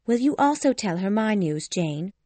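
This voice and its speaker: Woman playing young storybook heroine, earnest, polite, lively intonation, dry close sound. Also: mid-range voice, moderate pace, no room sound. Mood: anxious. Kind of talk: dramatized storybook reading.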